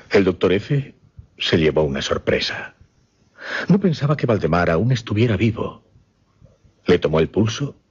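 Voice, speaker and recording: An actor playing a grave mesmerist who recounts a horrifying case in a husky, breathy voice, halting and suspenseful, in radio drama style. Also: male voice, low voice, darkish tone, heavily acted. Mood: grave, measured. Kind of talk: dramatic radio horror storytelling. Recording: dull radio drama recording.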